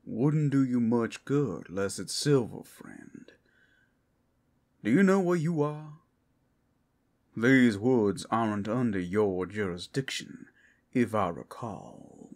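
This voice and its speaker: A man's voice: fairly low pitch, expressive, takes it slowly, a little mellow. A man playing a flirtatious, protective werewolf guide in an ASMR roleplay, teasing and folksy with a sardonic edge. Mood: firm, cool. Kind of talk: ASMR roleplay audio drama.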